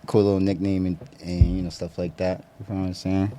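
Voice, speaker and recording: A man's voice: low voice, little pitch movement, fairly quick, dark tone, a little hiss or hum. A man in his twenties from Connecticut, a guest rapper talking casually in a podcast interview, laid-back and full of slang and fillers. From Bridgeport, Connecticut. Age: 20s.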